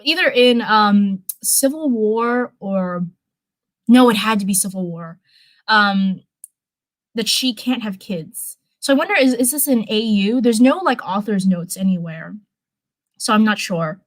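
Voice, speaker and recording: A woman streamer reads a story aloud in a mid-pitched voice. Her delivery is casual and informal, slipping easily between narration and chatty, rambling commentary. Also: lively intonation, unhurried, no room sound. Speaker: live streamer reading fanfiction.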